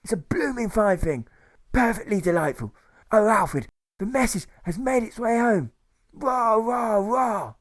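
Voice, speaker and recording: Man putting on a high, gushing posh nurse. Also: animated, a little slow. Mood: gushing delight. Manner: plummy period slang, effusive. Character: posh, gushing, excitable army nurse, quaintly polite.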